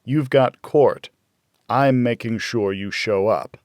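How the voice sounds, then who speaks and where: A man voicing a laconic, guarded, weary bounty hunter in audiobook dialogue, terse and deadpan. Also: mid-range voice, slow pace, no echo, clean studio sound, natural voice. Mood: terse, flat.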